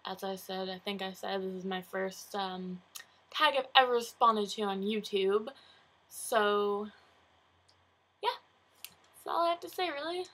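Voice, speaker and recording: Giggly Canadian young woman, bright voice. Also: medium pitch, expressive, a little slow, pauses often. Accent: southern Ontario Canadian. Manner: chatty, lots of "like" fillers, giggly. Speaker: vlogger. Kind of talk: casual accent tag video. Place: bedroom, indoors. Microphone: webcam at close range.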